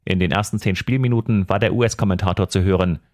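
A male newsreader with a very low voice delivers scripted tabloid headlines in a brisk manner. The sound is dry and close, with no room around it. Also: fairly even intonation, a little mellow, dry recording. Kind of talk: scripted news reading.

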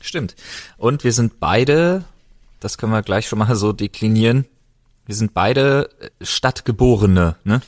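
A man from Berlin co-hosting a podcast, chatting casually in a relaxed, dry-humored way. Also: lowish voice, unhurried, dry recording. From Berlin, Germany. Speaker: podcast co-host. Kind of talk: casual chat.

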